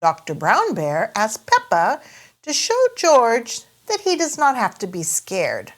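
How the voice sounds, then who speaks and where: A woman's voice: a little high, huge pitch swings, slow pace. A woman hosting a children's read-aloud, narrating expressively in a sing-song, careful way for small listeners. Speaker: storyteller and host.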